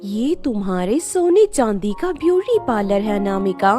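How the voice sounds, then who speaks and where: A woman's voice: mid-range voice, big pitch swings, a little slow, music in the background, voice pushed down. A woman voicing a kindly, solemn fairy who reassures and encourages, in a storybook tale. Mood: reassuring. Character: kindly female fairy, gentle, encouraging, granting magical wishes.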